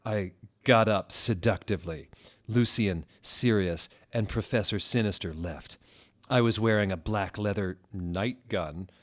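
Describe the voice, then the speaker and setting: A man narrating fan fiction in a dramatic comedic reading, with a mock-serious, deliberate and exaggerated delivery. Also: on the low side.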